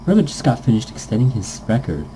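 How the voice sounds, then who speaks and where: Guy w a deep super mellow voice reading a story game out loud, kinda goofy, trips on lines, bit of noise behind him. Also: big pitch swings, fast talker, poor audio. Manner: stumbles over lines, adds goofy nonsense sounds. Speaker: let's player reading a visual novel aloud. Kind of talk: casual game read-aloud with asides.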